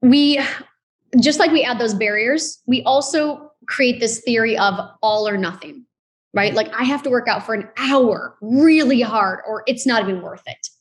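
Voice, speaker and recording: Higher-voiced, emphatic woman around forty. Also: normal speed. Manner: emphatic, encouraging, informal. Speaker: podcast guest, fitness founder and instructor. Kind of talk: conversational interview.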